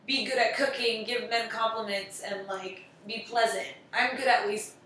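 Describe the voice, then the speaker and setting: A woman's voice: on the high side, fairly even intonation, normal speed, sharp tone, slight background noise. A woman in casual chat, talking freely, laughing and swearing often. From United States. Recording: echoey room from far off.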